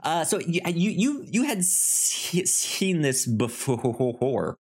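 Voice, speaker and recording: A man co-hosting a podcast in casual movie chat, speaking haltingly with frequent repeated words and false starts. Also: fairly high pitch, very animated, husky. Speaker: podcast co-host.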